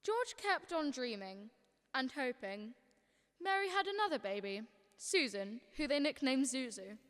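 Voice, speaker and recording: A woman's voice: high-pitched, big pitch swings, a little slow. A young woman playing a patient, authoritative senior angel telling a story in a student radio drama. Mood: storytelling. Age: young adult.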